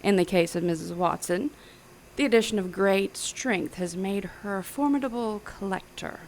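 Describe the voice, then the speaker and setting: A woman's voice: mid-range voice, normal speed, darkish tone, slight background noise, natural voice, rough recording. An actress playing a smug, menacing female mad scientist in an audio drama thriller, speaking precisely and theatrically. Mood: smug, boastful.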